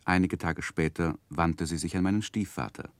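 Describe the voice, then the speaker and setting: An actor playing a grown man who looks back on his childhood, narrating a 1960s German radio drama in a measured, reflective way. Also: low voice, fairly even intonation, dry recording, close to an everyday voice.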